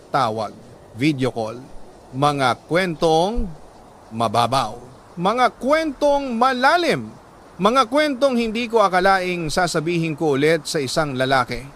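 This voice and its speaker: Slow, high-pitched man narrating dramatically. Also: a bit bright, a little hiss or hum. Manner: slow, sentimental, with deliberate dramatic pauses. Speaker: radio storyteller reading a listener's letter. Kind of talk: dramatic scripted narration of a love story.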